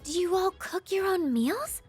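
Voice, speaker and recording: A woman voicing a shy, gentle teenage girl in a game, speaking politely. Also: extremely high pitch, lively intonation, unhurried, faint music behind. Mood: polite, curious. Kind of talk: game voice acting.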